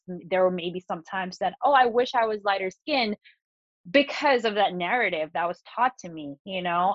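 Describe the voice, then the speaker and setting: A woman's voice: medium pitch, dry recording. Chatty woman, muffled dry recording. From Philippines. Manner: chatty, many fillers and restarts. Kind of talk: casual conversation.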